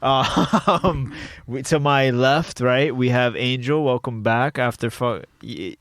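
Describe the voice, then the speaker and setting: A man with a low voice, co-hosting a podcast in rambling, joking, self-deprecating banter. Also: slow, a bit bright, no echo. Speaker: podcast co-host. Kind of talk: casual banter.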